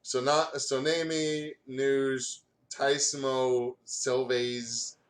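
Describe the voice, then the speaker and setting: A man's voice: medium pitch, level tone, extremely slow. A man hosting a let's play, casually reading fan fiction aloud with a goofy, giggly, stumbling delivery. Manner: giggly, goofy, often stumbling over what he reads. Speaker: host of a let's play channel. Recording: music playing behind the voice. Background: music.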